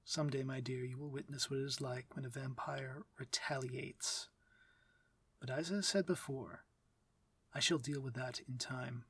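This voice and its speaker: A man playing a courtly, aloof but civil vampire master in an ASMR fantasy roleplay, speaking formally and with measured authority. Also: mid-range voice, fairly even intonation, a bit bright, breathy edge, dry recording. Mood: cool, stern. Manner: formal, measured, commanding diction. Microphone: close, dry microphone.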